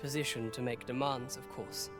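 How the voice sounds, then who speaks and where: Fantasy game young royal, played by a man: modest, earnest, politely tentative, formal old-world speech, soft music underneath. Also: mid-range voice, fairly even intonation, normal speed, close to an everyday voice. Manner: courteous, archaic formal diction, tentative. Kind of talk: fantasy video game voice acting.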